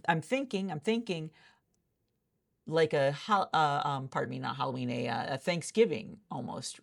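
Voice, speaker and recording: Low, creaky-voiced woman in ASMR interview roleplay.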